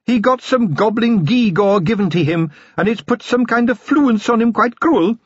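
Man putting on a tiny sly Scottish gnome lawman, high lilting brogue, husky edge, dry dull sound. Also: lively intonation, normal speed, dry recording. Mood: sly, earnest. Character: tiny fierce sly male Feegle-raised gnome constable.

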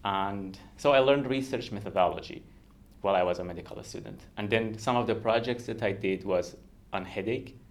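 Low-voiced Iranian man, earnest and halting. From Iran, Kurdistan region. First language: Kurdish. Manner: earnest, halting, laughs often. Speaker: guest researcher. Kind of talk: podcast interview.

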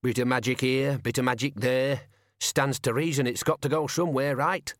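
A man's voice: mid-range voice, steady tone, moderate pace, no echo, clean studio sound, voice pushed up, close to an everyday voice. A man voicing a resentful working-class cart driver who mutters and grumbles colloquially in an audiobook. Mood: resentful grumbling. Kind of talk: audiobook character voice.

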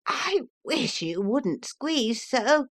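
A woman's voice: sits high, very animated, voice pushed up, exaggerated voice. A woman voicing a small, sleepy male dormouse with a sulky, complaining manner in a storybook reading. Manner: slow, complaining.

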